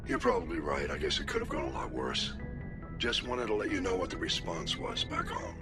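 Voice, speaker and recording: An actor playing a gruff, supportive senior military commander in a video game, talking over a comm link. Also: male voice, low voice, wildly swooping pitch, a little fast, loud music behind the voice. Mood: frank, supportive.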